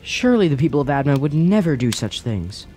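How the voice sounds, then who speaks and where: A man acting an earnest young lad with a fairly high, mellow voice. He is searching and sincere, questioning in formal fantasy radio drama style with music behind. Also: big pitch swings, brisk. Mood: concerned.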